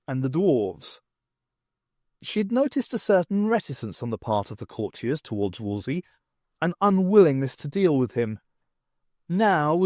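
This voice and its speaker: Lively male audiobook narrator. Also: fairly high pitch, no echo, clean studio sound. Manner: lively, expressive reading. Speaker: audiobook reader. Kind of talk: storybook narration.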